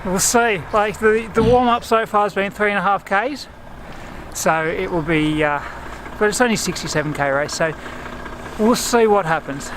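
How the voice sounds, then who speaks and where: A man's voice: high voice, normal speed. A man giving casual, understated interview answers as an amateur cyclist. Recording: noisy outdoor audio.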